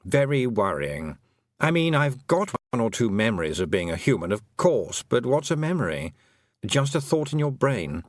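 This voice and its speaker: Man reading as a nervous, rambling storybook toad, lively voice, dry close mic. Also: medium pitch, normal speed, no echo, lightly acted. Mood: fretful. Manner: rambling, fretful musing. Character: anxious talking toad, once a man.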